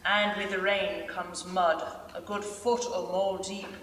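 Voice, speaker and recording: A woman playing a plainspoken British soldier writing to a friend, emphatic and expressive in reader's theater style. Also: on the low side, takes it slowly. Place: large indoor theater stage. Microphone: far camera. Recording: echoey theater stage, distant, faint noise.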